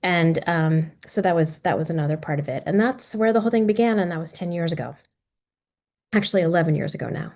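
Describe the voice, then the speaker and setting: A middle-aged filmmaker woman talks through her story, reflective and halting, with lots of pauses and restarts. Her voice sits a little low for a woman. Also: a little mellow. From Maryland, US. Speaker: documentary filmmaker. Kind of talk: casual talk about her film.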